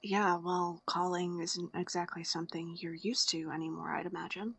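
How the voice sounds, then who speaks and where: A woman's voice: on the low side, level tone, normal speed, gravelly, fairly bright tone, husky, no room sound, exaggerated voice. A woman playing a hurt, guarded young woman in an audio drama, flustered and pointedly sarcastic. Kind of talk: naturalistic audio drama acting.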